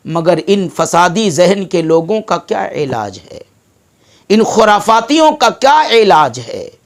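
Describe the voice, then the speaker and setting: High-pitched, slow, emphatic Indian preacher. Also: male voice, big pitch swings. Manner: emphatic, oratorical, with deliberate pauses. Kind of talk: sermon.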